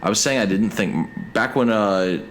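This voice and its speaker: Deep-voiced man in his thirties. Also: moderate pace, slight background noise. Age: 30s. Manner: loose, hesitant, restarts often. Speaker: podcast co-host. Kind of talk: casual chat. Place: military service member.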